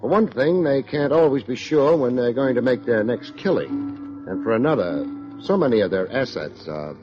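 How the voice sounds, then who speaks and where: A hard-boiled American private eye, played by a man, wisecracks with a lively, swinging pitch and unflappable wit. It has the sound of a fifties radio drama, dull and lo-fi. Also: moderate pace, over loud music, natural voice. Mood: wry narration. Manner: wisecracking, deadpan. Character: wry, hard-boiled male private detective, unflappable and quick-witted. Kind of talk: 1950s American radio detective acting. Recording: dull lo-fi old radio recording.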